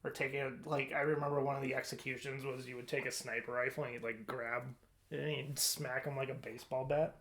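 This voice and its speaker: A man's voice: medium pitch, little pitch movement, quick pace, a bit bright. Casual, informal male podcast co-host. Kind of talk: casual chat about movie casting.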